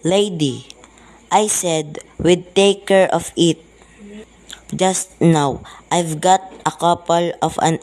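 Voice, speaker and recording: A weary police sergeant acted by a student in an amateur radio drama, speaking slowly at a middle pitch. The delivery is placating, patronizing and dismissive. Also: darkish tone. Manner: deliberate, patronizing.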